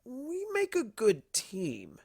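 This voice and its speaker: A woman's voice: a little high, huge pitch swings, takes it slowly, gravelly, a little mellow, slightly rough, voice pushed up, exaggerated voice. A woman voicing a mischievous male cartoon creature of chaos, with a blunt, playful manner. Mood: blunt, suggestive. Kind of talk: cartoon fan fiction character reading.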